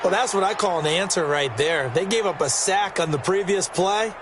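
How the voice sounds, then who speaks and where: A man's voice: sits high, normal speed, natural voice. A man with an American accent giving emphatic, explanatory color commentary on a football game. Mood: emphatic, approving. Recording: loud crowd and stadium noise. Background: loud crowd noise.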